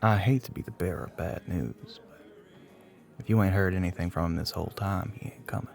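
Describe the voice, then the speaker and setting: A man playing a flirty, middle-aged bartender with a Southern US accent and a low, gravelly voice, teasing in a folksy way in an ASMR roleplay. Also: fairly quick, a little mellow, faint music behind. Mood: wry sympathy. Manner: drawling folksy terms of endearment, teasing.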